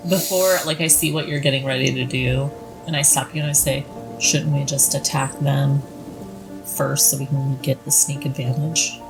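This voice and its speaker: A woman's voice: very deep voice, fairly even intonation, moderate pace, creaky, music in the background, rough recording. A woman talking casually as a player in a tabletop game.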